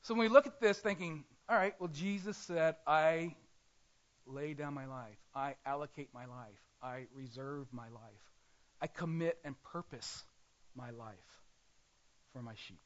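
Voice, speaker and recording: A male pastor preaching a sermon in a conversational way, often pausing and correcting himself. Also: fairly high pitch, muffled recording.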